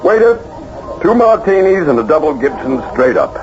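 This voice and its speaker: Restless bookish professor, animated old radio drama. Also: male voice, a little high, normal speed. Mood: jaunty. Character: restless, bookish, absent-minded professor and husband. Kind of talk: American radio drama acting. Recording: noisy, muffled vintage radio broadcast.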